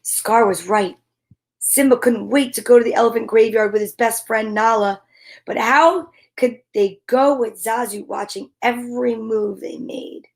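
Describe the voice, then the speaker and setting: A woman hosting a story time, reading a children's tale dramatically with comic asides in a theatrical manner. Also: fairly high pitch. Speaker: host and storyteller.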